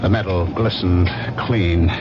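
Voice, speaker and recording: A deep-voiced, haunted killer narrating. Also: male voice, takes it slowly, very noisy. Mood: grim. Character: haunted, confused man turned killer, coldly recounting his crime. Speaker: lead actor and narrator. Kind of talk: radio drama first-person narration. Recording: muffled old radio drama recording, music behind.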